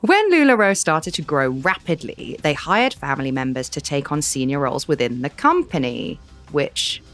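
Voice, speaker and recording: Woman co-host, low-ish voice, dry sarcastic delivery, expressive pitch. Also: moderate pace, faint music behind. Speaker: podcast co-host. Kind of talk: casual chat.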